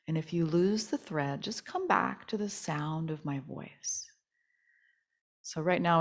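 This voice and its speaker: A woman teaching yoga nidra, leading a guided relaxation meditation in a soothing, gentle, unhurried manner. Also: low voice, creaky.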